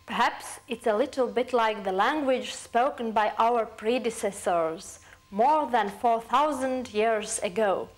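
Slow, careful young woman explaining. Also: on the high side. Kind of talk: explaining to camera. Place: indoor room. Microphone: lapel mic.

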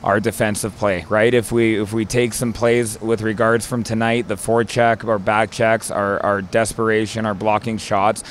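A man's voice: lowish voice, little pitch movement, moderate pace, few pauses, a little hiss or hum. A young man, an assistant coach, answering postgame questions beside a hockey rink, peppering his talk with fillers and "right?". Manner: filler-heavy, repeats words, says "right?". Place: indoor hockey arena rinkside. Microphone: handheld mic held by interviewer.

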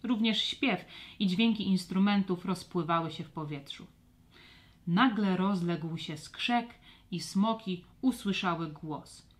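A woman narrating a scripted children's audio story with expressive, storybook delivery. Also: lowish voice, takes it slowly.

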